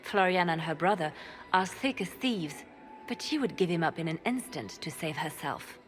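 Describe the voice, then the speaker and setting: Woman voicing a ruthless spymaster, low, measured, faint music behind. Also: animated, close to an everyday voice. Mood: cool. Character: shrewd female spymaster, calm and ruthless. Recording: game dialogue over music.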